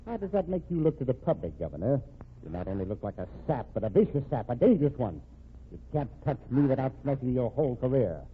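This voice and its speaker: An actor playing a cocky, scheming crooked lawyer and killer with slangy, sneering tough talk in an old-time radio crime drama. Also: male voice, mid-range voice, big pitch swings, fast, close to an everyday voice. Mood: cocky, threatening. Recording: noisy, tinny old radio broadcast recording.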